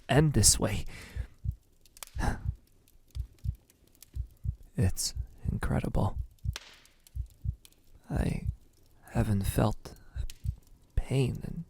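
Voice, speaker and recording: Guy doing a brooding possessive vampire for asmr roleplay, low husky half-whisper, heartbroken and self-loathing, drags his words out. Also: no echo, full character voice. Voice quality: breathy, husky, often dropping to a whisper. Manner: halting, brooding delivery with long pauses. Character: lovesick, possessive male vampire, centuries old, wounded and self-loathing. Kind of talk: ASMR roleplay, slow dramatic monologue.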